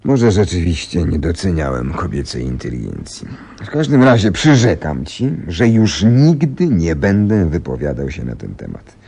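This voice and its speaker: An actor playing a shrewd, confident detective in a Polish radio play, polite and theatrical in manner. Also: male voice, sing-song, moderate pace, darkish tone, full character voice. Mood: wry, humbled. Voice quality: very deep, gravelly, husky.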